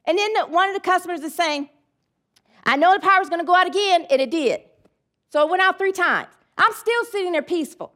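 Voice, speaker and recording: A woman with a high voice delivers a sermon in a chatty, loosely rambling way. She drifts into side remarks and then leads the room in call-and-response affirmations. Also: lively intonation, fairly quick, bright, forceful tone. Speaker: preacher.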